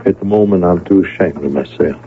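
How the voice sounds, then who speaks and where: An actor playing a reluctant gunfighter in a radio western, plain-spoken and earnest, with a cowboy drawl from the American West. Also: male voice, sits low, fast talker, natural voice. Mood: regretful. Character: weary reluctant gunfighter cowboy turned peddler, calm and protective. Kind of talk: 1970s radio western acting. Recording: noisy, tinny old radio broadcast.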